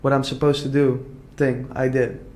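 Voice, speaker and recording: A man's voice: mid-range voice, flat delivery, normal speed, darkish tone. A young man from the Netherlands talking casually and earnestly in an interview, full of "like" fillers and restarts. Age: young adult. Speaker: entrepreneur guest.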